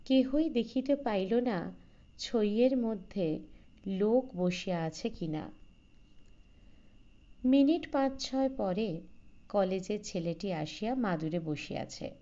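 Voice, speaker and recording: A woman narrating a literary short story in a measured, formal storytelling manner. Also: mid-range voice, warm tone, muffled recording. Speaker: audiobook narrator.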